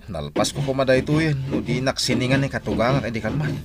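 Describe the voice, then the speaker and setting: A man's voice: medium pitch, quick pace, natural voice. A man acting as an overworked, good-natured husband in an Ilocano radio drama, hesitant and plaintive, with a northern Philippine Ilocano accent. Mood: weary, meek.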